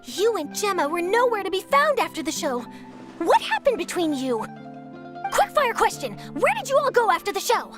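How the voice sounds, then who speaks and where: A woman's voice: very high voice, very animated, moderate pace, fairly bright tone, soft background music, exaggerated voice. A woman playing an eager, perky young newspaper reporter in a video game, theatrical and inquisitive. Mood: inquisitive, triumphant.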